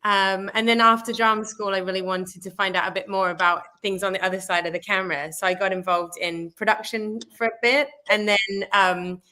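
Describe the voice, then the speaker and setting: A woman from England, a guest actress, answering thoughtfully in a casual interview with many pauses. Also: mid-range voice, steady tone, pushed and bright.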